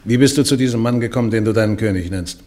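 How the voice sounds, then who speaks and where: An actor in a German radio play playing a church judge who questions the accused with pointed, persistent questions. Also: male voice, on the low side, quick pace, darkish tone, close to an everyday voice. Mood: probing. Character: male church interrogator, probing and insistent. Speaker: trial judge.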